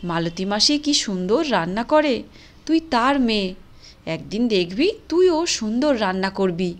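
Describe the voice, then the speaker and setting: A middle-aged village housewife from a moral cartoon, with a medium-pitched voice. She is caring but firm, reasoning earnestly and coaxing the listener to agree. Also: very animated, unhurried. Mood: encouraging. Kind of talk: Bengali moral-story cartoon dialogue.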